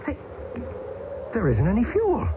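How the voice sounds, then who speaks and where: An actor playing a wisecracking American pilot stranded in the Arctic, jaunty and joking, in an old radio drama. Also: male voice, high voice, wildly swooping pitch, measured pace, full character voice. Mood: urgent. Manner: jaunty, joking, turning alarmed. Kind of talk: 1970s radio drama acting. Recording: hissy, tinny old radio broadcast.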